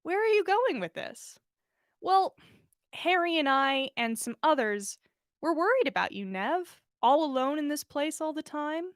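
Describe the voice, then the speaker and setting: Woman doing a bossy teasing young woman in a story, high and bouncy, direct. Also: big pitch swings, measured pace, no room sound, studio quality. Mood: prodding.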